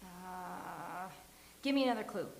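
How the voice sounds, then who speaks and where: A woman playing a frank, dramatic, neurotic sitcom woman at a table read, speaking with deliberate emphasis. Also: on the low side, lively intonation, vocal fry. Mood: eager. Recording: hissy, roomy live recording.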